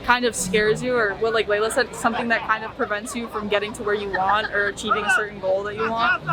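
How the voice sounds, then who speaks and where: Casual woman amid a loud crowd. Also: medium pitch, little pitch movement, fast talker, low-quality recording. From Northern New Jersey. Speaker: passerby. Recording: loud street crowd noise.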